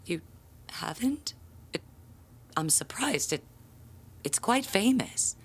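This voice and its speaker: An actress playing a proud, pompous handbell ringer, telling family lore in grandiose audio drama style. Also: female voice, mid-range voice, animated, slow pace, husky, heavily acted. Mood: indignant. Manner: grandiose, theatrical storytelling.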